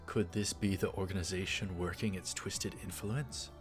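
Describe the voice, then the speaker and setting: A man reading visual novel text aloud in a measured way, dropping in casual asides as a let's player. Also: low-pitched, fairly even intonation. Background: game music.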